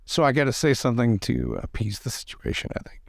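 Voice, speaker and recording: Male tabletop player, casual game talk, very animated pitch, some fry in the voice. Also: fast, no echo, studio quality.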